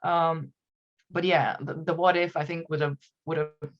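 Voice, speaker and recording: A woman's voice: low-pitched, level tone, a little slow, lo-fi sound. A woman in her thirties with a Romanian accent, a native Romanian speaker, talking as a podcast guest in a reflective, hesitant way with frequent laughs. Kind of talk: conversational interview.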